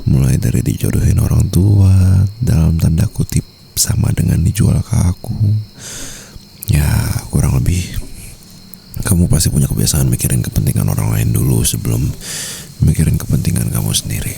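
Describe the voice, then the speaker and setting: A very gravelly, low-pitched Indonesian mafia boss , played by a man, murmurs to his lover in an ASMR roleplay. He is tender but possessive, teasing and soothing. Faint noise of a night with crickets sits behind him. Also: warm tone, full character voice. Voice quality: deep, gravelly. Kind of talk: ASMR roleplay, intimate boyfriend monologue.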